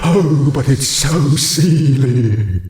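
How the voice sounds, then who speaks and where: A man voicing a gentle, shy female ghost pony seamstress, hesitant and airily polite, in a story reading. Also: medium pitch, animated, very slow, echoey room, pitched above the performer's own voice, poor audio. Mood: shy, wistful.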